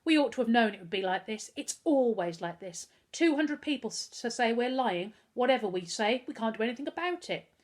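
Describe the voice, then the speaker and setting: A woman's voice: a little high, expressive, fairly quick, few pauses, voice pushed up. A woman voicing a miserable young schoolboy who speaks glumly and reproachfully. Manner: glum and reproachful.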